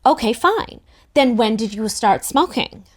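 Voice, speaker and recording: A woman's voice: fairly high pitch, expressive, measured pace. Wistful teenage girl narrator, voiced by a woman. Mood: indignant, accusing. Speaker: table-read performer. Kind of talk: first-person audiobook narration with acted dialogue.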